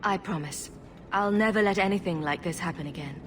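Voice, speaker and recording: A woman playing a calm, resolute champion in a fantasy game, composed and diplomatic. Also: lowish voice, fairly quick, slight background noise, lightly acted. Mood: gentle. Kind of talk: fantasy video game acting.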